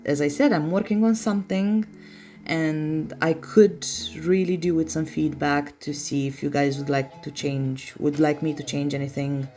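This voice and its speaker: Low-voiced woman with non-native English accent. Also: steady tone, normal speed, dark tone, soft background music. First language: not English. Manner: chatty, self-deprecating, apologetic. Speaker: nail art tutorial host. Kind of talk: casual tutorial voice-over.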